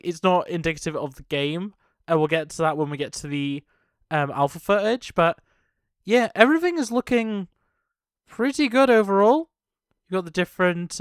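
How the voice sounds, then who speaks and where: A man's voice: high-pitched, a bit bright, smooth, dry recording, studio quality. A young man giving casual, off-the-cuff reaction commentary on a video game trailer, rambling and thinking aloud as he goes.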